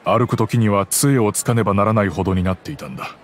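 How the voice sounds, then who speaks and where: A man playing a soft-spoken regretful merchant father. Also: fairly low pitch, fast, slight background noise, close to an everyday voice. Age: middle-aged. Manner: calm, reflective storytelling. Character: gentle, regretful middle-aged merchant father. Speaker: wealthy father recounting the past. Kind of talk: game cutscene voice acting.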